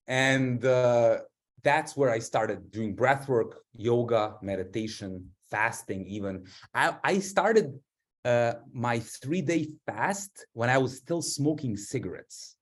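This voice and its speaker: A man's voice: medium pitch, animated, takes it slowly. Man, rambling and informal. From Serbia. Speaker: guest, author and breath work and meditation expert. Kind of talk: casual personal storytelling to an audience.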